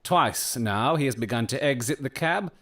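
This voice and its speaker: An actor playing a brilliant, eccentric Victorian detective in an audio drama, speaking with precise, clipped self-assurance. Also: male voice, mid-range voice, animated, normal speed, a bit bright. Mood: coolly analytical.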